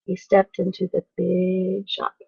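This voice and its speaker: A woman teacher reading a children's story aloud on a phone livestream, expressive, with asides to the viewers. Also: on the low side, takes it slowly, slightly rough, dry recording, muffled recording.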